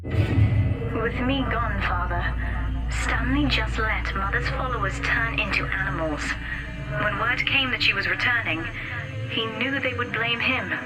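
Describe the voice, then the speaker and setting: A woman's voice: on the high side, a little slow, close to an everyday voice, rough recording. A woman playing an earnest, wounded teenage daughter in a video game, confiding in a solemn manner. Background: music.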